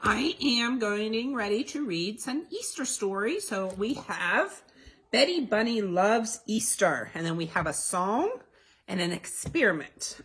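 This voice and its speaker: A woman's voice: mid-range voice, expressive, unhurried, a bit bright, breathy edge, rough recording. A woman reading a children's story aloud as a library storytime host, expressively, with asides and questions to young listeners.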